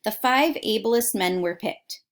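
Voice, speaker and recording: A woman with a medium-pitched voice reads a true adventure story aloud for children. She sounds like a teacher, stressing the dramatic words and addressing her listeners directly. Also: animated, unhurried. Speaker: teacher reading a book aloud to students. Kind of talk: expressive read-aloud of a children's nonfiction story with asides. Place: at home.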